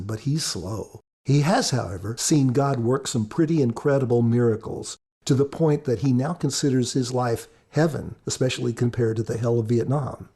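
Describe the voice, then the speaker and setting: A man's voice: mid-range voice, mellow, breathy edge. A man giving scripted podcast commentary as author and co-host, in an earnest, preacherly, explanatory manner.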